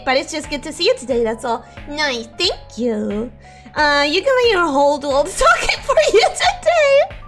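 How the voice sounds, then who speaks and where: A young woman streaming casually, her voice hoarse and ragged from sickness, giggling often as she reads chat and greets viewers. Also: very high-pitched, fairly bright tone.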